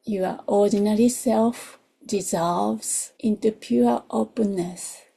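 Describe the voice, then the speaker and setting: A woman who is not a native English speaker leads a guided meditation in a soft, gentle voice, in short unhurried phrases that often drop toward a whisper. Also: mid-range voice, steady tone, creaky, husky, low-quality recording. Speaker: meditation teacher. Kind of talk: guided meditation, slow and soothing.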